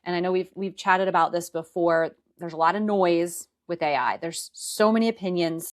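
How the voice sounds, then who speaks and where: A woman in her forties hosting an interview podcast, asking measured questions and affirming her guest. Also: fairly low pitch. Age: 40s. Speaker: host.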